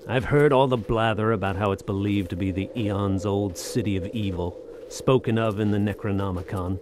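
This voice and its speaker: An actor playing a wry, world-weary soldier of fortune, telling his adventure in an audio drama with measured, literary delivery. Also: lowish voice, steady tone, noisy background, close to an everyday voice. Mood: dismissive, weary. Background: music.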